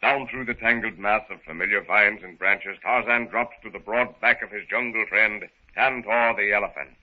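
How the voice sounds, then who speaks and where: A man's voice: fairly low pitch, moderate pace. Theatrical male narrator, muffled old radio. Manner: theatrical, formal storytelling. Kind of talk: dramatic 1930s radio narration.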